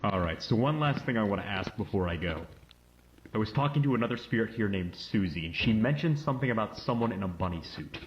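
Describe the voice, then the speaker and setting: On a dull, hissy lo-fi tape, an actor plays a man questioning spirits for a horror story. His voice sits low, and he probes with question after question. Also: lively intonation, fast, natural voice. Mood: probing, uneasy. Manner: probing questions to an unseen spirit. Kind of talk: analog horror found-footage acting. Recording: muffled, noisy lo-fi tape with clicks.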